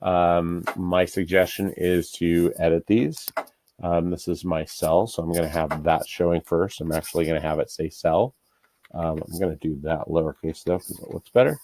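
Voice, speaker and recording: A man's voice: deep voice, fairly even intonation, moderate pace, darkish tone. A man with an American accent walking through an on-screen tutorial step by step in an informal, instructional way. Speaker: real estate broker giving a software tutorial. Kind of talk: casual screen-share tutorial.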